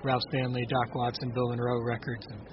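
Man, 30s, raspy speaking voice, halting and restarting, casual interview, dull lo-fi sound, steady noise behind. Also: mid-range voice. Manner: halting, many restarts and fillers. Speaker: bluegrass singer-songwriter, guest. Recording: dull lo-fi audio with clear noise.

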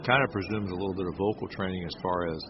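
A man's voice: low voice, rapid-fire. A middle-aged man interviewing a musician, asking long, rambling questions full of compliments. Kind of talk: casual interview talk. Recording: dull lo-fi audio with clear noise.

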